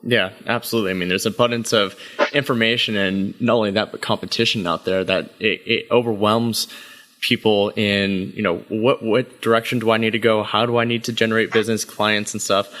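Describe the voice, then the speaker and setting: Man, podcast host, low voice, relaxed chatty manner. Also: fairly quick. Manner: easygoing, eager agreement, "man" and "like". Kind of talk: casual podcast interview.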